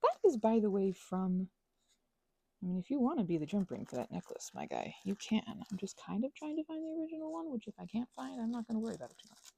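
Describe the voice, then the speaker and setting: A woman in a rambling, casual crafting chat, her voice mellow and husky with a swooping melody. She talks whimsically to herself and to objects, often breaking off mid-thought. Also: on the high side, sing-song, fast talker. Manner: whimsical, self-interrupting, chatting to herself and objects. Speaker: craft and ASMR video creator.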